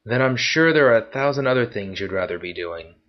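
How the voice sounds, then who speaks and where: A man's voice: mid-range voice, lively intonation, brisk, lightly acted. A man voicing a weary, guarded male war veteran who speaks tersely. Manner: terse and restrained. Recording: dull lo-fi recording.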